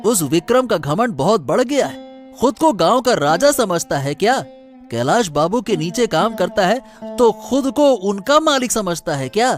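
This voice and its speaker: A cautious working villager from an animated moral tale, voiced by a man. He gives advice emphatically in a high, expressive voice over faint music. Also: moderate pace. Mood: indignant. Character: cautious, advising village labourer. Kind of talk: Hindi animated moral story dialogue.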